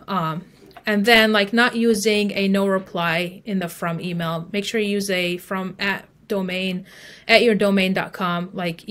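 A woman's voice: mid-range voice, a bit bright. A woman speaking as an expert guest in a conversational interview, explaining things step by step in an informal way, with plenty of "like" and "you know". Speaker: guest email marketing expert.

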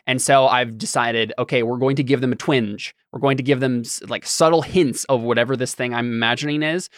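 A man hosting a casual podcast chat, speaking in a hesitant, halting way as he thinks aloud. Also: medium pitch, steady tone, a bit bright, no echo, studio quality. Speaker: podcast host and game master.

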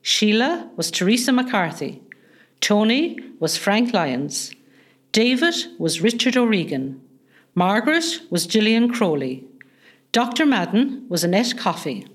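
A woman's voice: medium pitch, very animated, a little slow, husky. A woman announcer reading scripted closing credits for a radio play.

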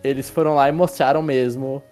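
A man's voice: mid-range voice, fairly even intonation, a little fast, darkish tone, faint music behind. A man hosting a casual film review podcast, rambling with restarts and tag questions as he leads the talk. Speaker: podcast host.

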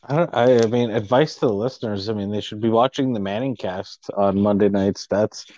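A man's voice: on the low side, brisk, warm tone, dry recording. Stammering casual man, dull audio. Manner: casual, stammers into his points. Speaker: podcast co-host. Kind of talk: casual sports chat.

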